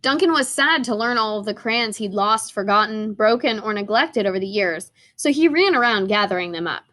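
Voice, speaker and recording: A woman teacher reading a picture book aloud in an animated storytelling way. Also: medium pitch, moderate pace.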